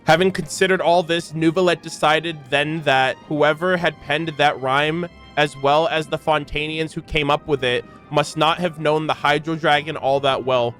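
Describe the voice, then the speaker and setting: A man giving casual, slangy live reaction commentary as a game streamer, animated and incredulous as he reads lore aloud. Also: a little high, normal speed, few pauses, faint music behind.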